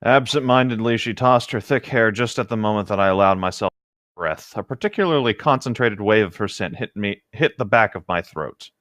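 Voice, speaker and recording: A man's voice: lowish voice, a little fast, fairly bright tone, dry recording, clean studio sound. A man reading a novel aloud as co-host of a read-along, with casual commentary.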